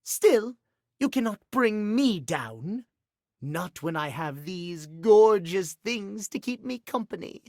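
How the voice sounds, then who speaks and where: A man voicing a fussy, genteel clock gentleman in a video game, with prim, formal, old-fashioned diction. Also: high voice, sing-song, slow, dry recording, studio quality, exaggerated voice. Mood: polite, tender. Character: fussy, genteel, punctual clock gentleman doting on pocket watches. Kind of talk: video game character acting.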